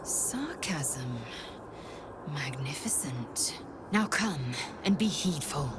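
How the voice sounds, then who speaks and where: A haughty female alien warrior from a fantasy game, with a low and measured delivery. Her speech is formal and commanding, with little patience. Loud ambient noise sits behind her. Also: animated, heavily acted. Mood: scornful, commanding. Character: stern, imperious female githyanki warrior, impatient and haughty. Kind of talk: video game character acting.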